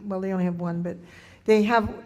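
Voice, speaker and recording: Panel speaker, woman, mid-pitched, measured and explanatory, reverberant hall. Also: fairly even intonation, dark tone. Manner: measured, corrects and elaborates points. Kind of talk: explanatory reply at a public meeting.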